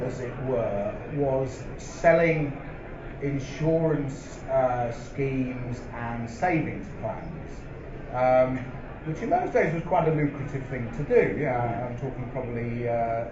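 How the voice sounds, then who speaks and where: A middle-aged man, telling stories in a live on-stage interview, measured and full of ums and restarts. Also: mid-range voice, animated, a crowd in the background. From England. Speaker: interviewee, company founder. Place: event hall with a live audience. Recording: roomy, dull live hall recording with noise.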